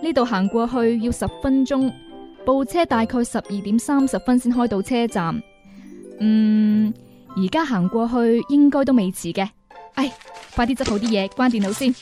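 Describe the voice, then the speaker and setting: Actress as overworked young female office worker, radio drama, higher pitch, grumbling and sighing to herself. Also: normal speed, warm tone, soft background music, close to an everyday voice. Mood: hurried, tired. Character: weary, grumbling young female office clerk working overtime. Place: radio studio.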